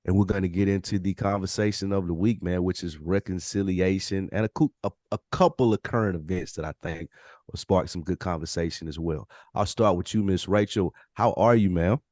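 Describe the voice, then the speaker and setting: Low-voiced male podcast host. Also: a little fast, darkish tone, no echo, clean studio sound. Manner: informal, slangy, welcoming introductions. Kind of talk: casual podcast talk.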